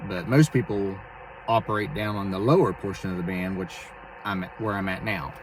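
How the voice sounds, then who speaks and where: A man talking casually and haltingly as he explains his amateur radio hobby in a vlog from inside a vehicle. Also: deep voice. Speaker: amateur radio operator and video host. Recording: distant mic, clear vehicle and radio noise.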